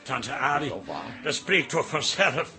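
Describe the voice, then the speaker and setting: An actor playing a friendly, excitable Dutch café barman in a radio drama, chummy and full of exclamations. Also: fairly high pitch, takes it slowly, heavily acted. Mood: excited, friendly. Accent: Dutch, from the Netherlands.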